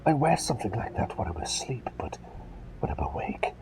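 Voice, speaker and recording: Whispering, gravelly, courteous male ASMR doctor. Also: mid-range voice, sing-song, fairly quick, darkish tone, poor audio. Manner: courteous, old-fashioned phrasing, dithering and repeating himself. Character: gentle, eccentric, absent-minded male plague doctor, polite and chatty. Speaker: ASMR roleplay performer. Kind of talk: whispered ASMR roleplay, personal attention.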